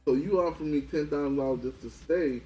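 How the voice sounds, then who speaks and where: Man, podcast co-host, casual chat, persistent and pushy, mellow tone, faint music underneath. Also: on the high side, a little fast, slightly rough, low-quality recording. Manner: pushy, persistent, repeats his point.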